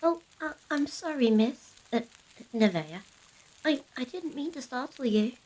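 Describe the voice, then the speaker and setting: A woman plays a shy, well-bred girl with a high voice and slow delivery. She is deferential and apologetic, stumbling over her words as in a period audio play. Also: lively intonation, darkish tone, low-quality recording. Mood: timid, apologetic. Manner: hesitant, stammering, deferential. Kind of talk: period audio drama acting.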